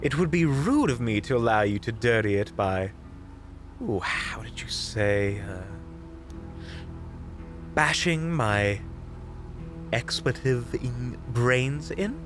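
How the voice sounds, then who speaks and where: A man acts out a flamboyant investigator for an audio roleplay, in a mid-pitched voice. The delivery is theatrical and coy, lingering on words with mocking courtesy and unflappable wit. Faint music plays behind. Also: expressive, slow pace, pauses often. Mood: smug, courteous. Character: flamboyant, unflappable, witty investigator in their prime. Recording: background music.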